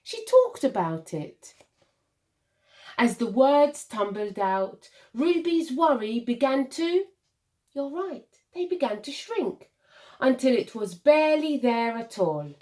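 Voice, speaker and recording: Slow, animated woman reading a children's storybook. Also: high voice. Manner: deliberate, dramatic pauses and emphasis for young listeners. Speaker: primary school teacher reading a picture book.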